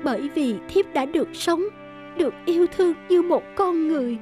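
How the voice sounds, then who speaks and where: A woman voicing a gentle, devoted, sorrowful young fox-spirit wife in a cartoon fairy tale. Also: very high-pitched, moderate pace, faint music behind.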